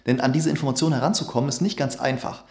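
A man's voice: medium pitch, fast talker, a little mellow. A man in his thirties, a veterinarian, giving an earnest, emphatic scripted explainer to camera in a dim home studio. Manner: earnest, emphatic, gestures with hands. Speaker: veterinarian YouTuber. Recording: roomy echo.

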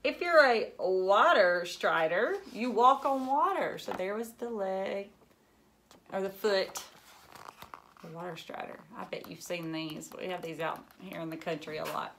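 A woman in her thirties reading a children's book aloud like a teacher, with expressive asides and questions to young listeners. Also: medium pitch, a little slow, gravelly, slight background noise. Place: indoors, living room at home.

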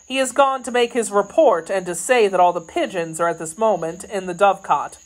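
A woman voicing a gallant young French swordsman in an audiobook, brisk, reassuring and decisive in manner. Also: mid-range voice, lightly acted, rough recording. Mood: brisk, wary.

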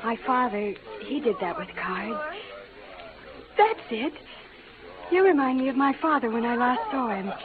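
A woman's voice: fairly high pitch, big pitch swings, unhurried, long pauses, a crowd in the background. A woman playing a sheltered but headstrong young bride from the East in an old radio western, earnest and curious. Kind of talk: 1940s-50s radio western acting. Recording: tinny old radio recording, music behind.

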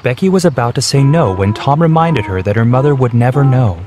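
Man, steady storybook narration, faint background music. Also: mid-range voice. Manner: clear, measured, steady.

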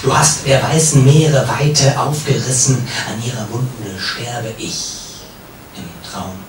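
Solemn man reading poetry, very roomy distant sound. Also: medium pitch. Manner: solemn, measured, with pauses and falling phrase endings. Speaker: poet reading own work. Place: café, live reading. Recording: roomy, distant, noisy live recording.

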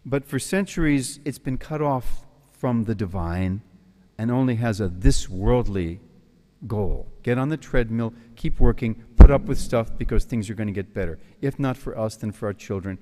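An American priest in his sixties from New York City, giving an animated retreat talk at a lectern in a large hall. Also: fairly low pitch. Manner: animated, gestures with both hands, tells jokes. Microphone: stand mic at the lectern. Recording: long hall reverb.

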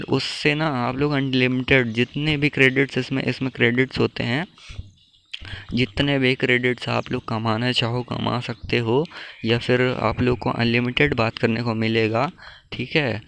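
A young man talks viewers through an app in an informal, buddy-like way. His voice is mid-pitched with little melody and a noticeable fry. The recording has clear background noise. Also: fairly bright tone. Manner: informal, addresses viewers as bro. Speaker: tech tutorial YouTuber. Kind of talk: casual phone app tutorial.

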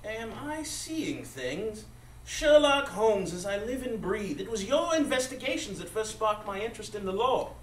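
A man's voice: sits high, very animated, moderate pace. Man acting a polite, echoey, long-suffering judge. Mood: amazed. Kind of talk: live radio drama acting. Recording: distant echoey live stage, noisy.

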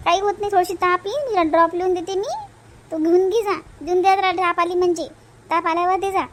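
A woman voicing a brisk village nurse in a cartoon, instructing and mildly scolding, with a Vidarbha Marathi accent. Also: very high voice, steady tone, slight background noise.

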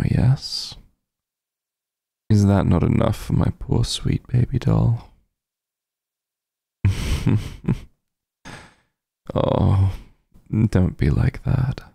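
A man voicing a possessive, teasing vampire mafia heir in an intimate ASMR roleplay, husky and gravelly, with a condescending drawl. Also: fairly low pitch, warm tone, no room sound, studio quality, full character voice. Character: possessive, teasing male vampire mafia heir, coolly menacing yet doting.

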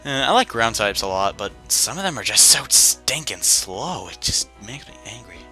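A man's voice: medium pitch, very animated, moderate pace. A young man giving casual, rambling commentary while playing a video game, chatty and self-deprecating with plenty of fillers. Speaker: let's play commentator. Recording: game music behind voice.

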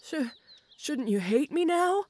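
Casting: female actor as timid, muscular orc schoolgirl for roleplay audio; high pitch, slow, stammering, apologetic delivery. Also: expressive, a little mellow. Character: shy, awkward, muscular tomboy orc schoolgirl. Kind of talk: roleplay audio acting addressed to the listener.

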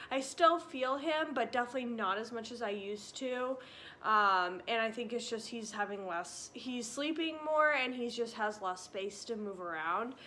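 A woman's voice: a little high, bright, forceful tone, a little hiss or hum. A young woman in her twenties giving a casual pregnancy update with lots of fillers, a little short of breath, filmed in a living room. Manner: chatty, many fillers and likes. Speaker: vlogger. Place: living room by a stone fireplace.

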